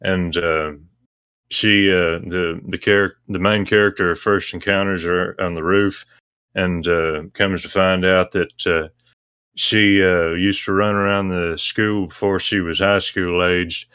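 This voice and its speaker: A man explaining things casually as a guest, in a hesitant, measured way. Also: low-pitched, fairly even intonation, sharp tone, clean tone, no room sound, studio quality.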